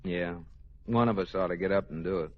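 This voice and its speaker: An actor playing a wry husband with dry comic timing in a 1940s radio sitcom. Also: male voice, low voice, fairly quick. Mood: grumbling, drowsy. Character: wry, sleepy husband in a domestic comedy. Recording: dull old radio recording with audience.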